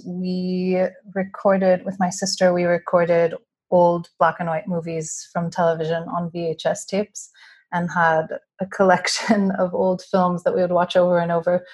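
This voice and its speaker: A woman in her thirties from California, a documentary filmmaker, answering interview questions in a relaxed, chatty way over a remote connection. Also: on the low side, husky. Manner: relaxed, chatty, with light laughs. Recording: remote call audio.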